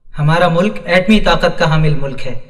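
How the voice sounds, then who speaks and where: Echoey, distant recording; male actor as earnest, brave detective captain, fairly high voice, declamatory radio-play delivery. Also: normal speed, natural voice. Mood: defiant. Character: brave, devout captain, an ace detective held captive. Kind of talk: Urdu audio drama acting. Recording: echoey hall, distant from the mic.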